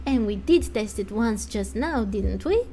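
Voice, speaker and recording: A woman's voice: medium pitch, lively intonation, measured pace, mellow, slight background noise, pitched below the performer's own voice. A woman voicing a breezy, boastful young female mechanic from a fantasy game, talking glibly. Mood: reassuring. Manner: boastful, glib. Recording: music under the voice.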